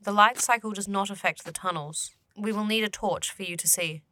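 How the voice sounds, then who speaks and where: Otherworldly guide, female actor, fantasy audio drama: composed, formal, measured wording, bright forward tone, mid pitch. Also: dry recording, clean studio sound, lightly acted. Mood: calm, assured. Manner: measured, formal, avoids contractions. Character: calm, formal otherworldly guardian guiding the dead.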